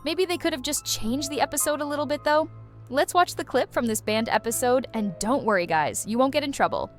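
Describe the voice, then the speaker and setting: A woman's voice: high voice, expressive, fairly quick, soft background music. A woman hosting a video with casual, playful, exaggerated commentary for young viewers. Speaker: host of a reaction video channel.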